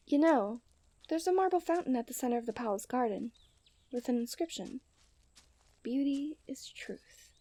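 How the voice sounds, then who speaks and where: Woman acting a mellow, genteel fairytale princess. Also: high voice, moderate pace, long pauses. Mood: admiring, playful. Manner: formal, genteel fairytale phrasing with breathy sighs. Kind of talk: scripted romantic ASMR roleplay.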